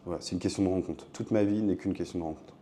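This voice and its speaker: A man's voice: low-pitched, steady tone, fast, warm tone. A man from Le Mans in France, an optician and shop owner, talking casually as an interview guest and explaining himself conversationally.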